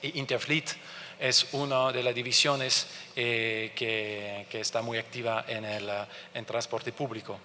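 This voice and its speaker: A halting French Canadian man speaking in a reverberant conference hall. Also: medium pitch, level tone, bright, forceful tone, a little hiss or hum. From France and Canada. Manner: halting, searching for words, many restarts. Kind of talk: conference presentation.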